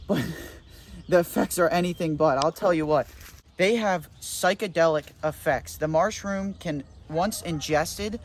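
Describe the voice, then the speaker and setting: A young man plays an overconfident survival expert, talking with a deadpan, boastful ramble. His voice sits a bit high for a man. He is recorded outdoors with a faint background hiss. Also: a little slow. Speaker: mock survival-show host. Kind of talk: deadpan comedic parody narration. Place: outdoors in nature.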